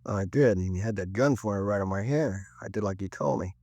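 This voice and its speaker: A man's voice: deep voice, darkish tone, no room sound. An actor playing a male store manager and robbery victim in a 1950s radio crime drama, talkative, colloquial and a little irked. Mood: rattled, earnest. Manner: colloquial, halting, rambling witness talk.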